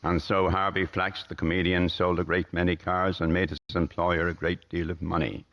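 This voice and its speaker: An actor playing a gloomy car salesman in a radio drama, speaking in a formal, deadpan, sardonic and measured way. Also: deep voice, muffled recording. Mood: dry, measured. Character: tall, gloomy, curt male car salesman, dryly superior.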